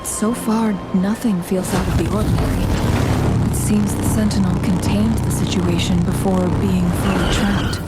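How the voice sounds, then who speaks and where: An actress playing a calm, earnest young female companion in a video game, speaking in a measured, formal, soft-spoken way. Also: medium pitch, lightly acted, poor audio. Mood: calm, solemn. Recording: loud background music. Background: game music.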